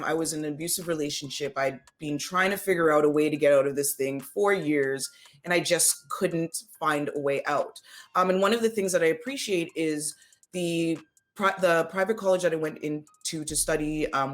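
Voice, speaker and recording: Low-voiced woman telling her story. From Caribbean. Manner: candid storyteller, easy laughs. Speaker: guest, front-end web developer. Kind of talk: casual interview chat.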